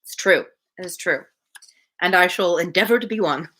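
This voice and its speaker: A woman's voice: lowish voice, normal speed, bright, forceful tone. A woman hosting a casual live novel reading, with informal, wry asides. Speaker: host reading a novel on a livestream.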